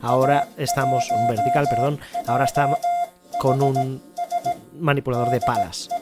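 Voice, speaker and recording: A man's voice: medium pitch, fairly even intonation, normal speed, over loud music. A man with an accent from Spain, presenting a podcast in a conversational style as its host. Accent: Spain Spanish.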